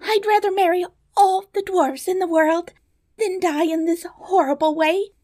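A woman's voice: high-pitched, measured pace, no echo, clean studio sound, pitched above the performer's own voice. A woman voicing a proud young princess in a fairy tale, plaintive and exclamatory in her delivery. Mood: terrified, pleading. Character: young proud princess, anxious, emotional, indignant. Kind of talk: fairy tale storybook reading.

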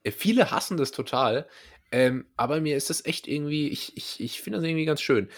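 A man's voice: on the high side, lively intonation, fairly quick. A young man from the Palatinate with a Palatinate accent, chatting loosely and jokingly as a podcast co-host. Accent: Palatinate German. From Palatinate, Germany. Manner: loose, joking, trails off and restarts. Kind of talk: casual banter. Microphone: laptop setup.

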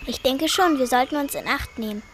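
A knowing, bossy young explorer played by a schoolchild in a children's radio play. The voice is high and chiding, with clear noise in the recording. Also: a little fast, fairly bright tone. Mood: alert.

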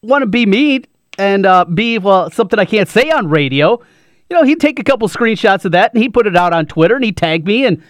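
A man with a fairly high voice chats casually on sports radio, stumbling through restarts and fillers. The sound is dry and close. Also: lively intonation, dry recording. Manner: halting, fillers and restarts, agreeable.

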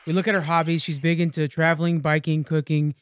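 A man's voice: fairly high pitch, little pitch movement, fast, no room sound, clean studio sound. A man co-hosting a podcast, chatting casually about television in a recap style. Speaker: podcast co-host.

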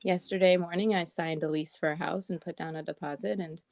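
A woman with a raspy morning voice chatting casually as a podcast co-host, talking with lots of "like" and "um". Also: on the low side, steady tone, fairly quick, darkish tone, no echo, studio quality. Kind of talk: casual chat. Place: at home in Portland.

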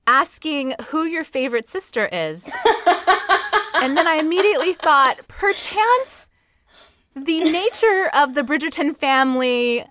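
A woman's voice: high-pitched, big pitch swings, measured pace, a bit bright. A woman hosting a podcast, interviewing in a casual, supportive and enthusiastic conversational way. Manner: supportive, enthusiastic toward guest.

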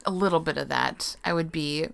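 A woman's voice: deep voice, brisk, vocal fry, clear, resonant tone, no room sound. A young adult woman chatting casually as a co-host, gushing and laughing, sometimes dropping to a whisper. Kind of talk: casual chat.

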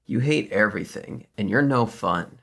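Woman as a bored, creaky-voiced sarcastic teenage girl. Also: a bass voice, normal speed, exaggerated voice. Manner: flat, teasing, deadpan. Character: bored, sarcastic sixteen-year-old sister. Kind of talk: comedic script table read.

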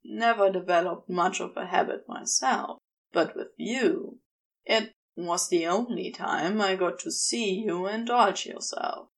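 A woman's voice: medium pitch, measured pace, creaky, voice pushed down, rough recording. A woman voicing an adoring, teasing male demon tempter in a story, with a seductive drawl.

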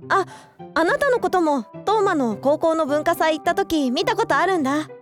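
Brief: calm, kind young woman played by an actress, very high voice, gentle chatty delivery. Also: moderate pace, soft background music. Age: young adult. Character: friendly university student, calm peacemaker. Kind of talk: radio drama acting.

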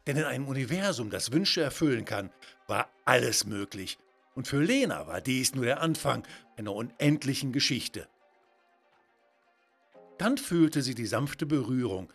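A man's voice: medium pitch, expressive, moderate pace, a bit bright, husky, faint music behind. A man narrating a scripted children's story in a dramatic, wonder-filled way.

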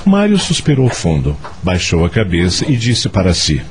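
A man narrating a scripted radio drama in an expressive storytelling manner. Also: deep voice, normal speed, background noise.

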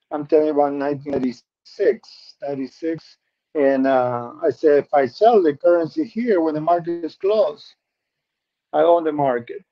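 A middle-aged man from Peru with a Peruvian Spanish accent talks through his life story in English, his second language. His voice sits slightly high, and he speaks earnestly with frequent restarts. The audio is muffled and lo-fi. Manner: earnest, repeats words and restarts often. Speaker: guest. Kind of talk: personal life story interview.

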